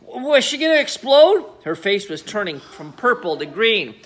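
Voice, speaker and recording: A man reading a children's story aloud as storyteller, with dramatic, emphatic phrasing and deliberate pauses. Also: high-pitched, sing-song, fairly bright tone. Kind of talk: animated children's story narration.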